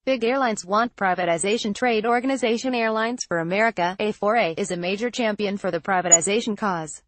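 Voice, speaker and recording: A woman's voice: mid-range voice, brisk, no echo. Dry-recorded woman reading news. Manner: reads formally, word for word. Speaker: news article narrator. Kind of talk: scripted news narration.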